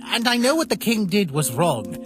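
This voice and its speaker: A man voicing a naive, caring younger-brother skeleton in a comic dub, speaking earnestly and haltingly in a soft plea. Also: high voice, sing-song. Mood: gently pleading. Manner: earnest, halting, softly pleading. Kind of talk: dramatic comic dub acting. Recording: voice over background music.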